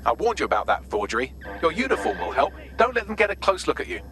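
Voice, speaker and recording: An actor playing a dry-witted, articulate artificial intelligence assistant in a video game. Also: male voice, a little high, big pitch swings, fast talker. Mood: brisk, warning. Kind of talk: video game cutscene acting.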